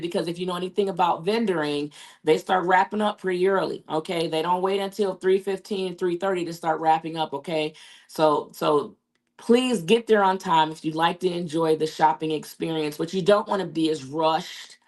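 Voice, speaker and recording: A woman with a hoarse, raspy voice from losing it, hosting a casual talk show and chatting along with many fillers. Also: fairly low pitch. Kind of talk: casual live show sign-off.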